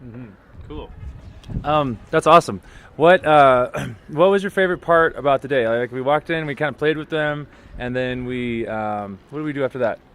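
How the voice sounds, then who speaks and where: A man's voice: mid-range voice, moderate pace, fairly bright tone, clean tone. A man hosting a casual on-location interview outdoors, speaking as an informal, encouraging teacher. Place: outdoors in a city.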